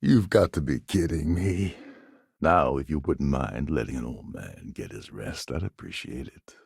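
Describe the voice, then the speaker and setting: An actor playing a weary, wry older space pirate posing as a ship captain in a video game, gravelly and husky, with a sardonic, conspiratorial manner. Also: male voice, rumbling low, no echo, studio quality, heavily acted. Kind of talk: video game character dialogue.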